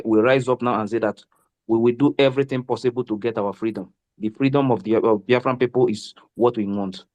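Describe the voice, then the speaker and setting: A man giving an impassioned, forceful speech as an activist in a discussion. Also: on the low side. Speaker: activist speaker.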